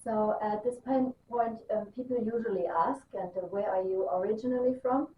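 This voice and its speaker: A woman's voice: mid-range voice, moderate pace. A woman from Germany, chatting casually and enthusiastically as host of her own knitting vlog, with frequent ums and restarts. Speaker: knitting designer and podcast host. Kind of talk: casual chat. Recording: roomy, distant, dull sound with faint hiss.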